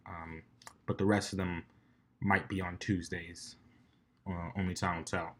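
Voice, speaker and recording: A man's voice: low-pitched, flat delivery, measured pace. A man narrating a written fan fiction story as its reader.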